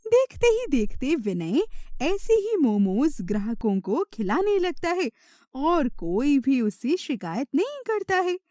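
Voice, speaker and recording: Female narrator, children's moral tales: slow, lively intonation, dry recording. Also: high-pitched, no room sound.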